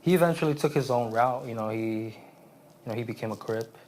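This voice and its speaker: A man in his late twenties from Vietnam, raised in San Jose, speaking as an interview guest in a halting, reflective way with many restarts. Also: mid-range voice, darkish tone, a little hiss or hum, rough recording. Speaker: guest, aspiring therapist.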